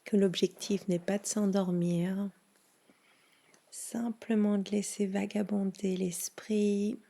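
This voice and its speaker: A woman leading a guided yoga nidra relaxation in a soothing, hushed manner with long pauses. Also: medium pitch, flat delivery, creaky.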